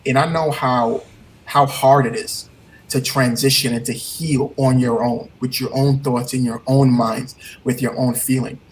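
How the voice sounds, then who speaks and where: A man's voice: medium pitch, fairly even intonation, unhurried, slight background noise, low-quality recording. A man with a Trinidadian accent, a heartbreak coach speaking as a guest in an earnest, motivational way, often repeating his key points. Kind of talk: casual interview talk.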